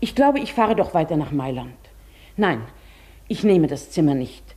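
A woman's voice: low-pitched, very animated, moderate pace, dark tone. An actress playing a polite, evasive, formerly wealthy lady traveller in a German radio play. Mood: polite, reserved.

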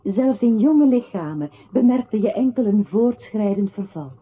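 An actress playing a narrator in a poetic radio play, reciting in a measured, detached way. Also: female voice, medium pitch, animated, slightly rough. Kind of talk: literary radio drama recitation. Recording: thin, phone-like old radio-play recording.

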